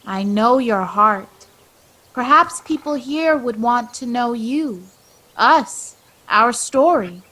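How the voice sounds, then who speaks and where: A woman playing a wise, calm, knowing guide in a radio play, measured, coaxing and solemn. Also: on the high side, exaggerated voice. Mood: encouraging.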